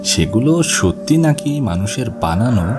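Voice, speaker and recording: A man's voice: mid-range voice, big pitch swings, unhurried. A man reading scripted first-person ghost story narration for an animation. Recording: music bed behind the voice. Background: music.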